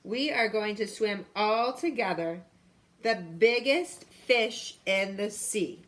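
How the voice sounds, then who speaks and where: A woman's voice: mid-range voice, takes it slowly, close to an everyday voice. A woman voicing a small, brave, cheerful fish with earnest, emphatic delivery, as in a storybook read-aloud. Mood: excited, resolute.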